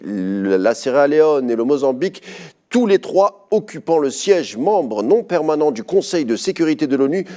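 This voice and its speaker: A man's voice: medium pitch, warm tone. A man presenting the news in scripted French-language broadcast narration.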